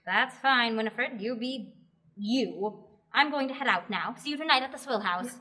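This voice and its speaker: A woman's voice: a little high, moderate pace, bright, forceful tone. A young woman in a student radio drama, playing an earnest heroine who has been given a quest. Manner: earnest, exasperated at times.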